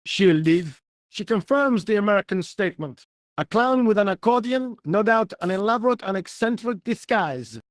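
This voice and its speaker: A man voicing a dry, sceptical junior policeman in a video game, with a deadpan manner. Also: high-pitched, moderate pace, no echo.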